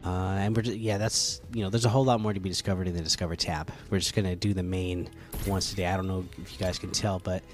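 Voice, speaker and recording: A man hosting a casual gaming podcast, chatty and relaxed with lots of fillers, slightly hoarse from a cold. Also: deep voice, quick pace, hardly any pauses, faint music behind. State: catching a cold, losing voice. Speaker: podcast host.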